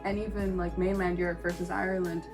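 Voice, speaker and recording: A woman's voice: lowish voice, level tone, quick pace, music in the background. A young woman, a co-host and art history student, chatting casually with fillers and giggles. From United States. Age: young adult. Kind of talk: casual chat. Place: indoors, a room with no light on.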